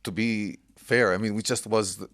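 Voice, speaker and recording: A man in his sixties from Israel, a podcast guest telling his family history in an unhurried way with many pauses. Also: lowish voice, fairly even intonation, fairly bright tone. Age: 60s. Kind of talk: casual interview chat.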